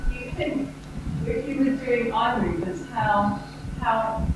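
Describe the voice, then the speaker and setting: Woman, fairly high voice, audience question, roomy hall, loud noise behind. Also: a little slow. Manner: probing, thinking aloud. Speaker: audience member asking a question. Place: conference hall.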